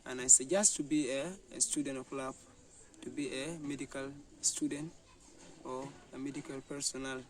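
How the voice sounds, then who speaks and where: A young man, a student answering interview questions in halting phrases with fillers. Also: mid-range voice, little pitch movement. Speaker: student and lab assistant. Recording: distant mic with faint noise.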